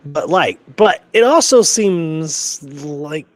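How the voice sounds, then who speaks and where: Male co-host, slightly high voice, dry sound, casual and halting, circles back over his points. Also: expressive, no room sound. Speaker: podcast co-host. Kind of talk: casual discussion.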